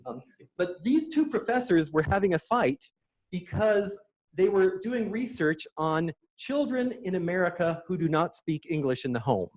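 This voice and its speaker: A man's voice: a little high, darkish tone. A middle-aged man giving an enthusiastic, lightly joking conference presentation with slides. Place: conference room.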